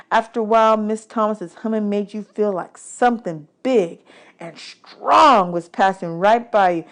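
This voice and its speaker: A woman reading a children's novel aloud as an expressive storyteller, drawing out words for emphasis. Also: mid-range voice. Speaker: teacher reading a book aloud. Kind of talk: expressive audiobook read-aloud of a children's novel in first person.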